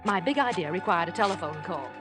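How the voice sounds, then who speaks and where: An actress playing a composed, wry, quietly scheming wife with measured, dry delivery, in a classic film. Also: female voice, on the low side, expressive, music in the background, close to an everyday voice. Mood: confiding. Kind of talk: classic film dialogue and voice-over narration.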